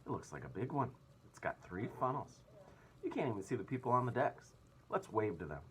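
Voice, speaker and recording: A man voicing an earnest young boy in a children's story, with an excitable streak. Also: fairly low pitch, fairly quick, a little hiss or hum, voice pushed up. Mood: excited.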